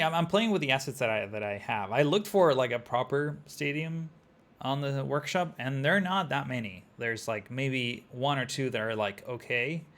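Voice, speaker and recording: Gravelly man chatting over a city-building game. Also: medium pitch, fairly bright tone. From Argentina. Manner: rambling, chatty, talks to chat, many "like" fillers. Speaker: streamer. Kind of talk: casual gameplay commentary.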